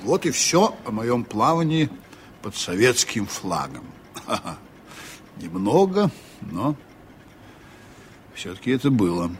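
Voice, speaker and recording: An actor playing a seasoned, honest sea captain in a reflective, measured way, in a 1980s Soviet radio drama. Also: male voice, mid-range voice, sing-song. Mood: reflective, sincere. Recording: noisy old radio play recording.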